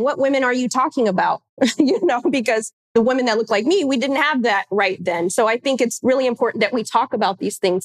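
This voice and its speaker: A woman moderating a panel, conversational as she sets up the questions. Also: fairly high pitch.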